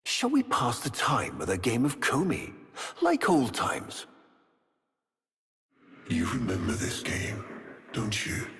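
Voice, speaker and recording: A man playing a cruel, haughty aristocratic Executor villain in a video game, with theatrical, measured, formal diction and silky menace. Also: medium pitch, huge pitch swings, a bit bright, full character voice. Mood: mock-genial. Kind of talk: dramatic video game character acting. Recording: long echoing hall reverb, some noise.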